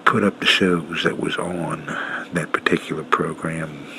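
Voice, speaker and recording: A man hosting a radio show, talking casually in a halting, low-key, unscripted way. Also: fairly even intonation. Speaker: host of an old-time radio show. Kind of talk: casual unscripted sign-off talk. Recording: clearly noisy home-made recording.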